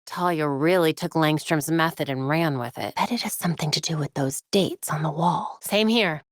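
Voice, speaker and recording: An actress playing a young, determined vigilante superheroine in a video game, with an American accent, caring and dryly witty in an earnest, conversational way. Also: female voice, deep voice, moderate pace, dry recording, studio quality, lightly acted. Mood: focused, investigative. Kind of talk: scripted game voice lines.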